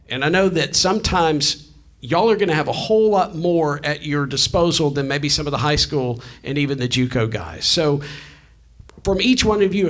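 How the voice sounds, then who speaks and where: Casual male event moderator, voice a little on the high side. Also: a bit bright. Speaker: panel moderator. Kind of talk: casual live panel moderating. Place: live panel event in a room.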